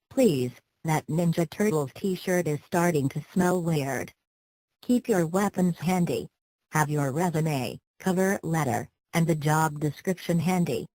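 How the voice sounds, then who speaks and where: A woman with a low-pitched voice reads scripted advice. Her delivery is instructional yet conversational, with a playful, wry edge. The recording is dry, with very little room sound. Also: darkish tone, no room sound, poor audio. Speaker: narrator of a career advice video. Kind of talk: scripted tips narration, light conversational wording.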